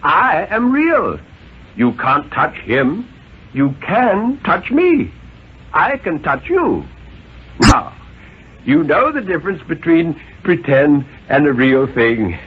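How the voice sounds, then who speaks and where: Condescending radio father, acted by a man, high-pitched and emphatic, tinny old recording. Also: big pitch swings, takes it slowly, a little hiss or hum. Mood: insistent, lecturing. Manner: pompous, insistent, jolly-patronizing, emphatic. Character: overbearing, condescending father lecturing his small son. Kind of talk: 1950s radio dramatic reading.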